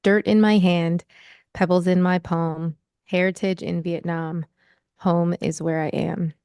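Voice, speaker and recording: A young American woman with a smooth, lowish voice reads her poem in a measured, rhythmic way, then talks it over thoughtfully. The sound is dry and close, as on a video call. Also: level tone, a little mellow, dry recording. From United States. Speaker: author. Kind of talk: poetry reading and interview.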